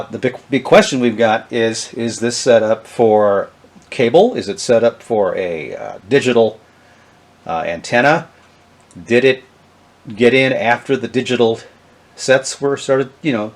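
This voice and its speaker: A middle-aged man, talking casually and haltingly with many fillers as he shows off old electronics. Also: lowish voice. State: has a cold, sniffly. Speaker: vintage electronics video host. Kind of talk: casual show-and-tell narration.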